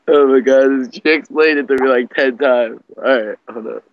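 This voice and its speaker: A man's voice: mid-range voice, unhurried, dry recording. Young male prank caller, casual slangy chat, muttered asides, slightly muffled call audio.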